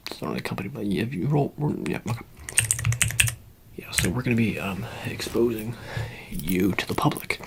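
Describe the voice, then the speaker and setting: Soft, low, creaky male ASMR voice. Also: expressive, noisy background. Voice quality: soft, breathy, often dropping into whisper. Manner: halting, casual, low-key official patter. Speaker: ASMR roleplay performer. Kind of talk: ASMR roleplay, soft-spoken, improvised.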